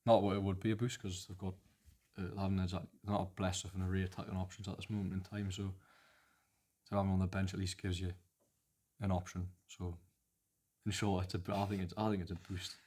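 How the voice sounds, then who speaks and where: Hoarse, flu-stricken Geordie man, very deep. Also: level tone, darkish tone. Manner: halting, hesitant, restarts often. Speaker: football podcast co-host. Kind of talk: casual football analysis chat.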